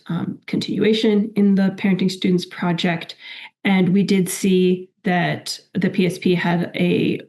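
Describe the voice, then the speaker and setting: A woman presenting evaluation results in a webinar. She is getting over a cold, so her voice is a little crackly, and she talks in a measured way with frequent ums and restarts. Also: medium pitch, darkish tone.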